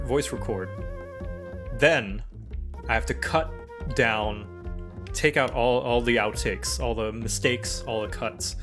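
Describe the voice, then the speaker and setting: Man thinking aloud over music. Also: mid-range voice. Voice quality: slight stutter. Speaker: streamer and animator. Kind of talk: casual livestream chat explaining a process. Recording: music playing behind.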